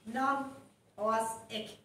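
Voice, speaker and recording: A woman's voice: a little high, fairly even intonation, extremely slow, fairly bright tone, a little hiss or hum, exaggerated voice. An actress playing an assertive woman sleuth with a North Frisian accent in a live radio play. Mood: accusing. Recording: very echoey live stage recording, distant mic. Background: faint piano music.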